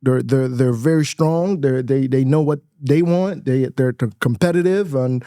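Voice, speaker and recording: A man in his thirties from Nigeria talks as a guest in a studio. His voice is warm and mellow, recorded dry and close. He is reflective and laughs easily, repeating words as he gathers his thoughts. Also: medium pitch, normal speed, no echo. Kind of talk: casual interview chat.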